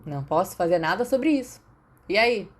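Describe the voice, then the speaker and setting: A woman's voice: medium pitch, animated, normal speed, breathy edge. A Brazilian woman voicing a cheeky, blunt teenage boy, a teasing imaginary friend in an audiobook. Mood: casual. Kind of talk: audiobook character dialogue.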